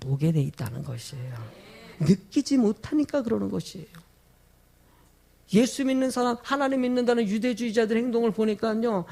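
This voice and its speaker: A man preaching a sermon as a pastor, emphatic, putting rhetorical questions to his congregation, his throat sore from a cold. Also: very high-pitched. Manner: emphatic, with drawn-out stressed phrases.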